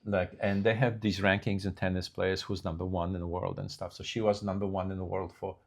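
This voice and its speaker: An older man with a very low, deep voice. He talks in a halting, unhurried way, full of restarts and fillers, in a relaxed news chat. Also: vocal fry, slight background noise. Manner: slow, halting delivery with many restarts. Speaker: co-host. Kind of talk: casual chat.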